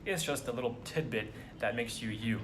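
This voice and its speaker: A man's voice: medium pitch, moderate pace. A young man from the US talking earnestly to camera in a casual vlog, encouraging viewers with frequent ums and restarts. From United States. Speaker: vlogger.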